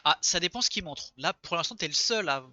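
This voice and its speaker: A man acts as game master for a tabletop role-playing game. His voice is very bright and forceful, and he speaks informally. The sound is dry but a little lo-fi. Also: on the high side, lively intonation, fairly quick, no echo. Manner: informal, conversational.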